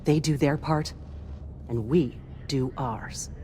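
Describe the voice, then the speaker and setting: A woman's voice: very deep voice, slow pace, mellow, a little hiss or hum. A woman playing an earnest, devoutly patriotic starship crew chief in a video game, speaking with reverence and a sense of duty. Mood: proud, devout. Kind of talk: scripted video game character lines.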